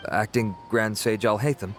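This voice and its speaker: A man's voice: on the low side, fairly even intonation, moderate pace, lightly acted. An actor playing a dutiful male law enforcer in a video game, formal, deferential and earnest. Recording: music behind the voice.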